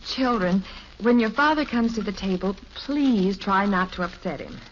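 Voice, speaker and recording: A gentle suburban mother played by an actress in a vintage radio family comedy. She speaks cautiously at a medium pitch. The sound is band-limited, with faint noise. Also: moderate pace, lightly acted. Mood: anxious, gentle. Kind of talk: 1950s radio sitcom acting. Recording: muffled old radio recording, faint noise.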